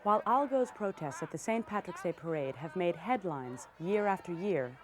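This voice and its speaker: A woman narrating a scripted news magazine segment in a measured, even way, with faint hiss behind her. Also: lowish voice. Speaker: host narrator.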